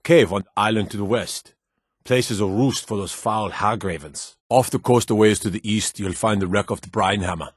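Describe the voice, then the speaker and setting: Gruff nord guard for a fantasy game, curt and proud, husky low voice. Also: male voice, moderate pace, no echo, clean studio sound. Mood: blunt, matter-of-fact. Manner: blunt, curt, folksy rustic phrasing. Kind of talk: fantasy video game character dialogue.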